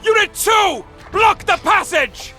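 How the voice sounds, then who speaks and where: An actor playing a brutish, aggressive army officer in a video game, barking coarse commands. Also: male voice, very high-pitched, animated, takes it slowly, bright, forceful tone, faint music behind, full character voice. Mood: furious commanding.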